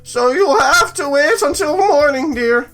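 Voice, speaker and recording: A woman voicing a helpful archaeologist with an affected, drawn-out delivery in a visual novel. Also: sits high, lively intonation, fairly bright tone, soft background music, voice pushed up, heavily acted, poor audio. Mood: helpful, brisk.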